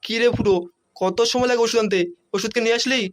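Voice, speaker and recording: A man's voice: extremely high pitch, fairly even intonation, quick pace. A man voicing a worried, fretful young fellow in a comic cartoon, full of questions. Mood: worried, impatient. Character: worried young male friend waiting anxiously.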